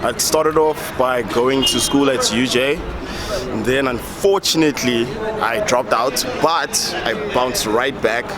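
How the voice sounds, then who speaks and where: A man's voice: mid-range voice, measured pace. Composed young man on a noisy street. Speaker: street interviewee. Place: city street, outdoors. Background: loud crowd and traffic.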